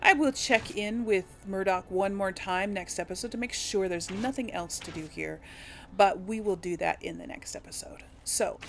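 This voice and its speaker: A woman's voice: medium pitch, a little hiss or hum. A woman hosting a casual let's play, musing aloud to herself and the audience as she plays. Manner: musing, talks to herself while playing. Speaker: gaming video host.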